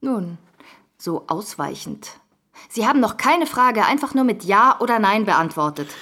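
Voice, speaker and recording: An actress playing a pointed, persistent political journalist in a radio drama interview scene. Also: female voice, medium pitch, sing-song, a little slow, pushed and bright, heavily acted. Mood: pointed, challenging.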